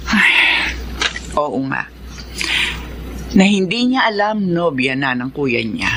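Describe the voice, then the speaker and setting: A woman's voice: low voice, sing-song, takes it slowly, fairly bright tone, slight background noise, exaggerated voice. A woman playing a concerned, reproving middle-aged mother of grown sons in a Filipino radio drama. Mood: worried, resigned. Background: background music.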